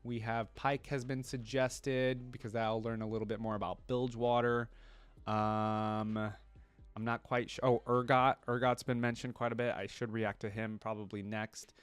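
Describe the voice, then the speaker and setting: Clear-voiced man chatting casually, dry sound. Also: medium pitch, level tone, normal speed, no room sound. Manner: rambling, thinking aloud, tagging questions with "right?". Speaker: reaction video host. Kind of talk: casual reaction commentary to camera.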